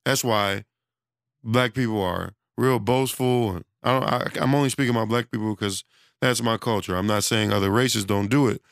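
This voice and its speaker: Gravelly-voiced man losing his voice. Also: fairly low pitch, normal speed, no echo, studio quality. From United States. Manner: loose, rambling, conversational, with many restarts and fillers. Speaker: self-employed studio owner. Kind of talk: casual monologue.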